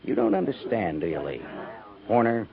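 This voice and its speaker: An actor playing an earnest, homesick cavalry major in a 1950s radio western, reflective and questioning. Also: male voice, fairly low pitch, huge pitch swings, moderate pace, creaky, a crowd in the background, exaggerated voice. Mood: earnest, wistful. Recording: tinny 1950s radio recording, busy background.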